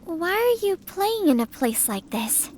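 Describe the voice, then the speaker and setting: A woman's voice: very high-pitched, sing-song, a little slow, noisy background, heavily acted. A woman playing a sweet, earnest and curious clumsy young woman in a video game.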